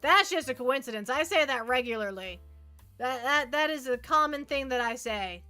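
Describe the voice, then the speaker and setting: A woman's voice: high-pitched, animated, moderate pace, bright, forceful tone, faint music behind. A woman chatting casually to viewers as a livestream host, rambling playfully and often repeating her phrases.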